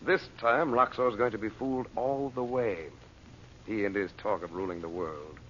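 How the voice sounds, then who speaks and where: An actor playing a bold, confident magician uncle in an old-time radio adventure serial, commanding and reassuring. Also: male voice, on the low side, normal speed, a bit bright, close to an everyday voice. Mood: confident, insistent. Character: bold, self-assured magician and uncle, planning a dangerous disguise. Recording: dull, noisy old radio recording.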